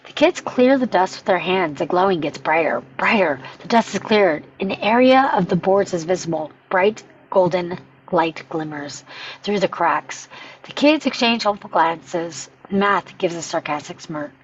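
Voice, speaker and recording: A woman with a slightly husky voice reading scripted stage directions as narration at a table read, in dull sound. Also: lowish voice, lively intonation, a little slow, slight background noise.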